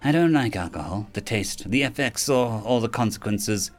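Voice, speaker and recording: A man's voice: fairly low pitch, expressive, normal speed, close to an everyday voice. A man plays a weary young woman of science in a storybook. She is formal, anxious and rather miserable, speaking hesitantly over music. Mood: glum, grave.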